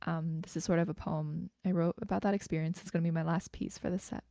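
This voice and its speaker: A woman's voice: on the low side, steady tone, fast talker, gravelly, mellow, dry recording, studio quality. A woman, a spoken word poet performing and introducing her own work. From Canada, Greater Toronto Area.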